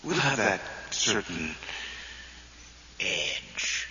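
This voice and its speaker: An actor playing the mocking, sinister spirit of a dead twin brother in a radio horror drama, whispery and drawn-out. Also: male voice, sits low, sing-song, roomy sound, full character voice. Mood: gloating, menacing. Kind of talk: vintage-style radio horror acting. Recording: noisy, muffled vintage radio drama tape.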